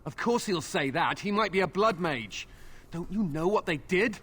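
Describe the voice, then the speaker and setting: Brief: fearful templar, urgent and accusing, slightly high voice. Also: male voice, lively intonation, normal speed, fairly bright tone, husky, exaggerated voice. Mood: accusing, alarmed. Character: suspicious, fearful male templar soldier. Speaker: video game character.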